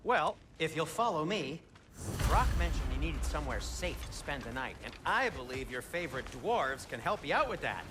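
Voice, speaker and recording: An actor playing a fussy, eager, fastidious dwarf craftsman in a video game, chatty and theatrical in manner. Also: a little high, sing-song, music in the background. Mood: delighted, eager. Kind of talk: video game character acting.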